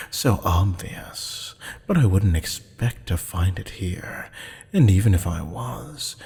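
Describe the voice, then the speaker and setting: A man's voice: on the low side, vocal fry, a little mellow, slightly rough, full character voice. A man playing a teasing, flirtatious, menacing demon captor in an ASMR roleplay, purring pet names and slipping into half-whispers. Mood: puzzled, intrigued. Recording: long hall-like reverb.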